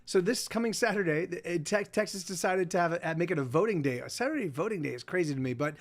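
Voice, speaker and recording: A man in his forties hosting a livestream, chatting casually with viewers in halting, self-interrupting sentences and frequent swearing. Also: high voice, expressive. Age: 40s. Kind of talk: rambling casual chat.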